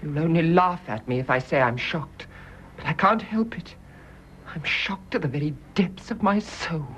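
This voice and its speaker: An actress playing a bitter, righteous British nurse in a radio drama, intense and indignant, with clipped delivery. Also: female voice, sits low, moderate pace, a bit bright, slight background noise. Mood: shocked, prim. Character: bitter, accusing private nurse, fiercely devoted and righteous. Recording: dull, muffled radio drama recording.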